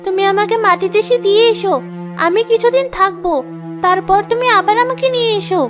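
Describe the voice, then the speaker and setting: A very high-pitched young fairy princess from a children's cartoon. She is headstrong and pleading, a girlish voice over clear background music. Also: fairly quick. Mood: pleading, insistent.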